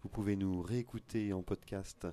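Low, mellow radio man, halting. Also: fairly even intonation, slight background noise. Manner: hesitant, many fillers. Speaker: radio show co-host. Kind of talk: casual radio chat. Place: radio studio.

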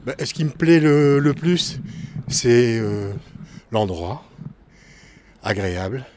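A man from northern France, a former cook, giving frank opinions slowly with hesitations. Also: mid-range voice, expressive, darkish tone, slight background noise. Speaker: interviewed resident, former cook. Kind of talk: street interview.

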